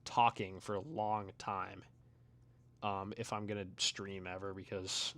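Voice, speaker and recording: A man's voice: sits low, flat delivery, a little slow, dry recording. Young man, casual rambling chat, tired voice, trailing mumbles, dry close sound. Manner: rambling, trails off, mumbles and quiet asides between bursts. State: voice getting tired. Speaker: streamer reading chat. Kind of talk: casual chat while playing a video game.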